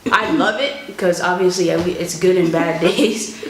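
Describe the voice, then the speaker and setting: A woman's voice: fairly low pitch, normal speed. Casual female vlogger, mid-twenties, from Florida, chatting to an audience in a roomy space with faint noise. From Florida, United States. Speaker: family vlog host, soldier. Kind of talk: casual chat to viewers, Q&A.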